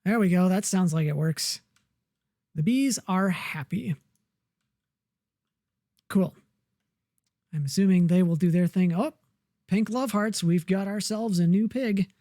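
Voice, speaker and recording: A woman plays through a survival game and chats to herself in a slow, unhurried ramble. Her voice sits low and mellow. The sound is dry and close, with no room around it. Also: dry recording. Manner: relaxed, muttering to herself while building. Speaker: gamer. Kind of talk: casual let's-play commentary.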